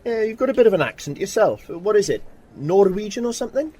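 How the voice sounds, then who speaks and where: A man's voice: high voice, very animated, quick pace, dark tone. An actor playing a wisecracking man from a Welsh football background in an audio drama, dry, jokey and colloquial. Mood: cheeky. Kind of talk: full-cast sci-fi audio drama.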